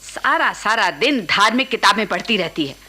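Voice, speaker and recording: A woman playing a sharp-tongued, scolding middle-aged housewife and aunt in a radio play. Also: medium pitch, animated, moderate pace. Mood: indignant, complaining. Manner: scolding, complaining, sarcastic. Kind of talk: Hindi radio play acting.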